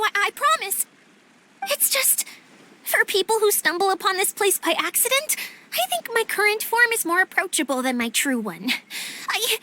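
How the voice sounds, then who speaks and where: A woman's voice: extremely high pitch, bright, forceful tone, exaggerated voice. A woman voicing a shy but cheerful female water spirit mascot in a video game cutscene, bubbly and easily flustered.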